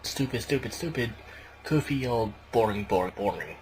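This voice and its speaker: A man's voice: mid-range voice, brisk, a little mellow, faint music behind. A man hosting a podcast, giving a casual, sarcastic play-by-play recap. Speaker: podcast host. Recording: clearly noisy home podcast recording.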